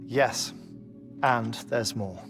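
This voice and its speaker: An actor playing a grave, thoughtful researcher in a video game, explaining in a measured way. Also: male voice, mid-range voice, music in the background. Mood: grave, confiding. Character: grave, thoughtful male researcher confiding his theories. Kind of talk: video game cutscene acting.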